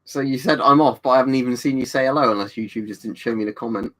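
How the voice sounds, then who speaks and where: A man's voice: mid-range voice, racing. A man, casually chatting and answering viewers on a livestream. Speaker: livestream host reading chat. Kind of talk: casual chat.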